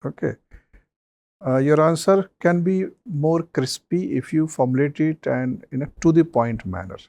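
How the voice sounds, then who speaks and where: A man, a mock interview panelist giving measured advice with many pauses and fillers. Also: on the high side, darkish tone, dry recording.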